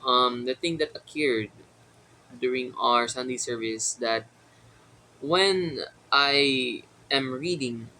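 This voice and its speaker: A young man with a Filipino accent, a native Tagalog speaker, talking to his viewers in a casual solo vlog with many pauses and fillers. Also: mid-range voice, bright, forceful tone, a little hiss or hum, low-quality recording. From Philippines. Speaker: vlogger. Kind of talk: casual solo talk to camera.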